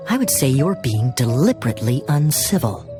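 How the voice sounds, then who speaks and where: A woman's voice: a bass voice, very animated, a little fast, over music. A woman voicing a sly tavern drinker with elegant airs in a video game, teasing with suave, barbed politeness. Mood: barbed, goading.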